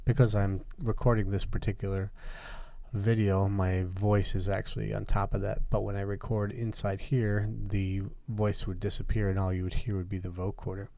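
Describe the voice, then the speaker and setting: A man teaching music production in a methodical software tutorial, explaining each step as he goes. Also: lowish voice, little pitch movement, normal speed, vocal fry, dry recording. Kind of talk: software tutorial, talking through steps.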